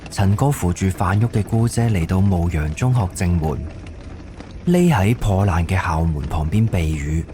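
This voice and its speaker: A man's voice: sits low, expressive, moderate pace, a little hiss or hum. A man with a Cantonese accent narrating a scripted suspense ghost story for an audio drama. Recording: soft music under the voice. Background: background music.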